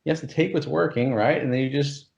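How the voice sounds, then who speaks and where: A man's voice: medium pitch, fast, gravelly, darkish tone, echoey room. A man hosting an interview, talking enthusiastically and filling his speech with "like" and "you know". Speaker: course creator, interview host. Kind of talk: casual interview over a call.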